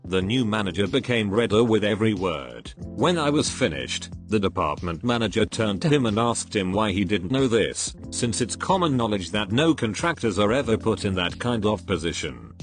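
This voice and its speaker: A man's voice: lowish voice, animated, normal speed, few pauses. A man reading a scripted first-person story as a narrator for online listeners. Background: background music.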